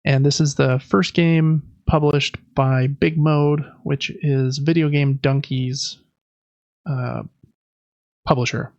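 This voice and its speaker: A man's voice: mid-range voice, level tone, slow, a little mellow, no room sound, highs cut off. A man co-hosting a podcast, chatting casually about video games in a rambling, enthusiastic, informal way. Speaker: podcast co-host.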